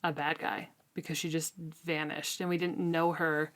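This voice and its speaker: A woman's voice: sits low, level tone, moderate pace, a bit bright. A woman co-hosting a podcast, giving thoughtful, hedged opinions in a casual book discussion. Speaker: co-host of a book read-along podcast.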